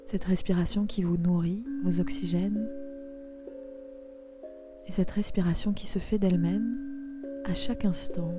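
A woman leading a guided yoga nidra meditation in a soft, soothing, unhurried way, pausing between phrases. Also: fairly low pitch, steady tone. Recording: music behind the voice.

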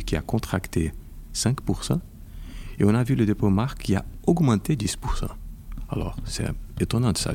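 A Brazilian man in his forties, a lawyer speaking French as a second language with a Brazilian Portuguese accent, in a careful, halting interview manner. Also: low voice, gravelly, dark tone, slight background noise. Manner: careful, halting with repeated words. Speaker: intellectual property lawyer. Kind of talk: podcast interview.

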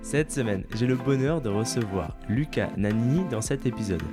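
A man's voice: medium pitch, expressive. A man hosting a podcast, reading an enthusiastic introduction and then asking his guest questions. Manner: brisk and enthusiastic. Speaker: podcast host. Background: music.

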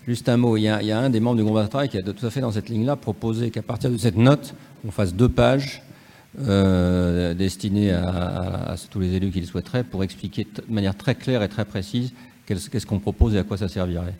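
Male discussion participant, fairly deep and relaxed tone, speaking spontaneously. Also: a little fast. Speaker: participant in a policy workshop. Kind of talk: spontaneous interjection at a public discussion.

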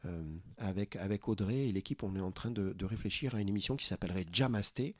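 A husky, slightly low-pitched man from France talks through his plans in an earnest, searching way, stopping often to find his words. The sound is close and dry, with no room around him. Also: fast talker, no room sound. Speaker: podcast founder, interview guest. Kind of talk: casual interview chat.

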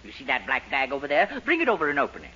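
An actor playing a genteel, effusive and cheerfully deluded gentleman in a 1940s radio comedy, on a noisy old recording. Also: medium pitch, wildly swooping pitch, rapid-fire, highs cut off. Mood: giddy, eccentric. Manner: courtly, effusive, chuckling. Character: genteel, delusional eccentric gentleman boarder, cheerfully mad.

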